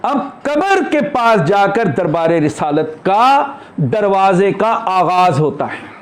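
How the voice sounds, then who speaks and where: A man teaching a class in a conversational religious discourse, explaining and posing rhetorical questions. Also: high-pitched. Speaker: spiritual teacher lecturing a class.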